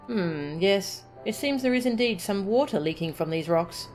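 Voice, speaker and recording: A woman streaming a game, making quick playful asides and reading aloud. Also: medium pitch, lively intonation, darkish tone, soft background music. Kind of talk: casual live gameplay chat.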